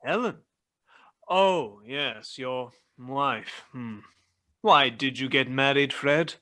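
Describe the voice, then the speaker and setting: Man acting a sneering, bright-voiced old miser. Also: mid-range voice, slow pace. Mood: sneering, curt. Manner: curmudgeonly, sneering, dismissive. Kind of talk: radio play acting.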